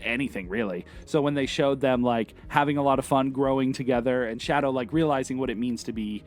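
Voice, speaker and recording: A man's voice: mid-range voice, brisk. A man talking informally as co-host of a film review show, with a fan's enthusiasm. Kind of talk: casual film review chat.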